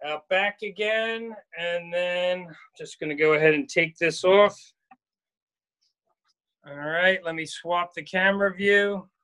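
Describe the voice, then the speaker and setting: A man in his forties guiding a live walking tour of a research boat and dock, talking casually with many pauses and fillers, filmed on a handheld phone. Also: on the high side, fairly bright tone, no echo. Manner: casual, hesitant with frequent uh fillers. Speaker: marine field station staff guide. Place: aboard a workboat and on a dock outdoors. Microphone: phone camera held at arm's length.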